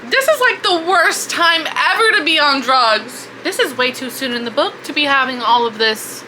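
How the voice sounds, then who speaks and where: A woman book vlogger chatting casually in an expressive, informal way with plenty of swearing. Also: sits high, moderate pace, a little hiss or hum, low-quality recording. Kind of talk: casual reaction chat to camera.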